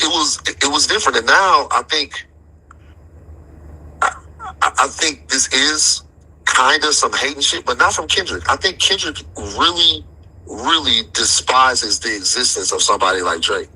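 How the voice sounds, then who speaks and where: A man speaks in a very forceful, cutting voice with a heavily husky, rough edge. He talks casually and with slang, like a commentator in a debate, repeating phrases to drive his points home. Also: mid-range voice, low-quality recording. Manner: emphatic, repeats phrases for emphasis. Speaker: commentator in a debate about rap. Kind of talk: casual opinionated discussion, slangy.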